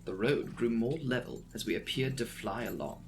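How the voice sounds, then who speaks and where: A man's voice: mid-range voice, expressive, normal speed. A man narrating a classic novel as a dramatic, scripted audiobook reading.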